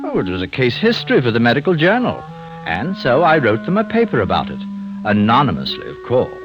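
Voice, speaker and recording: An actor playing a refined, coldly cynical doctor with a secret, fussy and sardonic, in an old-time radio drama. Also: male voice, mid-range voice, huge pitch swings, fairly quick. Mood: smug, cold. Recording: muffled vintage radio recording with music.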